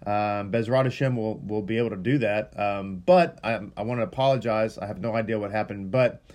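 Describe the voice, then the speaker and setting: A man's voice: fairly low pitch, a little fast, darkish tone. A man from Texas, a rabbi casually welcoming a livestream audience before a Torah reading, earnest and rambling, often stumbling and repeating words.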